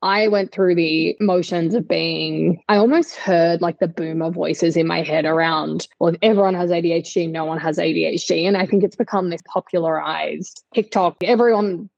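A woman around thirty with a slightly low, creaky voice, talking as a podcast co-host. She tells things candidly and at length, in an easy conversational way. The recording is dry and a little dull, from a makeshift setup. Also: fairly even intonation, normal speed, few pauses, a little mellow, no echo. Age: 30s. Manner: candid, long-winded storyteller. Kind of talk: casual conversational podcast chat.

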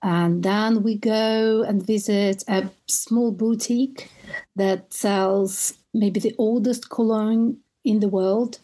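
A woman's voice: mid-range voice, steady tone, slow, dark tone, rough recording. A woman from Russia with a Russian accent, talking as a podcast guest about her perfume tours, telling stories with enthusiasm and an occasional restart. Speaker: guest, perfume tour guide. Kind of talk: conversational interview.

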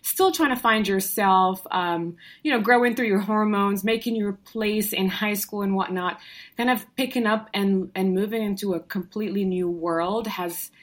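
A woman's voice: medium pitch, moderate pace. A young adult woman from Morocco, a guest telling her story in an upbeat, chatty way with frequent fillers and restarts. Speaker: guest entrepreneur. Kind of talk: casual conversational storytelling.

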